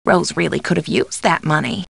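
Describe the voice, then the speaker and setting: A woman playing a concerned, gently chiding older confidante in a video game. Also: low-pitched, moderate pace, vocal fry, slightly rough, no room sound, exaggerated voice. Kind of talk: scripted video game voice acting.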